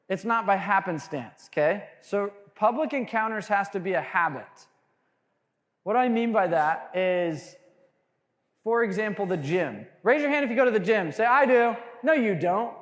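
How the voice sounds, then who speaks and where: A man with a high voice works a crowd at a sales seminar, joking and asking questions. His voice carries a long reverb, as if he is speaking in a big hall. He sounds punchy and animated. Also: normal speed. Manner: animated, jokey, quizzes the crowd. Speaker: sales trainer speaking to a room. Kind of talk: energetic seminar talk to a live audience.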